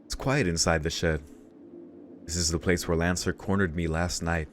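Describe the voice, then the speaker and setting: Low-voiced man reading a story aloud. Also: fairly even intonation, moderate pace, slight background noise. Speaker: let's play host reading a visual novel. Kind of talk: reading aloud with casual asides. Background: game music.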